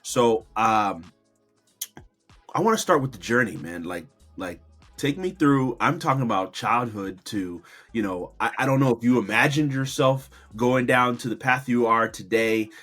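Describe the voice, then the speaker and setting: Male interview host, medium pitch, affirming and emphatic, casual asides. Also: expressive, measured pace, a bit bright, faint music behind. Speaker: podcast host. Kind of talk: interview podcast introduction and casual questions.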